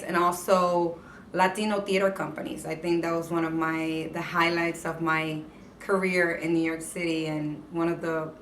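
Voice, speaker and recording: Passionate interview guest, female, slightly low voice, heard from a distance with faint background noise. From Puerto Rico. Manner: passionate, expressive. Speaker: interview guest, entertainment correspondent. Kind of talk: casual interview answers. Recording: echoey room, distant mic, faint noise.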